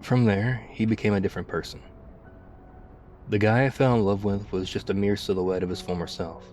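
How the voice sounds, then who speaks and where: A man acting as a battle-worn ex-soldier in an apocalyptic ASMR roleplay, with a dark, mellow, lowish voice. He confides in a halting, reflective, wistful way, close and intimate. Soft music plays faintly underneath. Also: steady tone, natural voice. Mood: regretful, sad.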